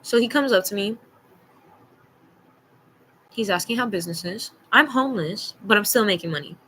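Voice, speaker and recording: A young woman with a bright, forward voice tells a personal story like a vlogger. She is blunt and slangy, leaning on key words and quoting conversations as she goes. There is faint noise behind her. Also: medium pitch, lively intonation, normal speed, low-quality recording. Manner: blunt, slangy, emphatic, acts out dialogue.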